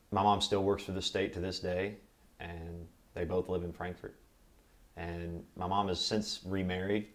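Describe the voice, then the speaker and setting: Very deep-voiced, measured American man. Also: fairly bright tone. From Kentucky, United States. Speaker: interviewee, military veteran. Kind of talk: oral history interview, personal recollection.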